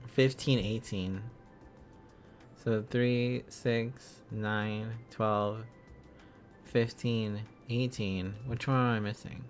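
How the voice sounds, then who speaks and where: A man livestreaming in a casual, playful way, thinking aloud as he chats to viewers. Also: on the low side. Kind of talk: casual livestream study chat.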